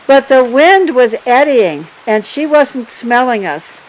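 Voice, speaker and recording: An older woman telling stories in a lively way, laughing often and prompting her partner. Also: high-pitched, takes it slowly, low-quality recording. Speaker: storyteller. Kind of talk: casual storytelling.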